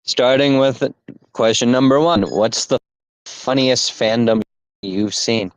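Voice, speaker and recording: A man co-hosting a casual podcast, talking in halting bursts with many fillers and restarts. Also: mid-range voice, clean tone, dry recording, low-quality recording. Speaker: podcast co-host.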